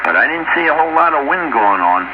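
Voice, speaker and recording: A man's voice: a little high, sing-song, quick pace, lo-fi sound. A folksy man musing and repeating himself in casual chat over a two-way radio. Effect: two-way radio transmission. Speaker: amateur radio operator. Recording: noisy, band-limited two-way radio.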